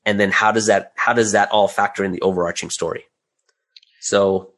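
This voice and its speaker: Male interview guest, lower register. Talks through his creative process conversationally, point by point, asking himself questions. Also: fairly even intonation, normal speed, a bit bright. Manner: explains step by step, often posing rhetorical questions.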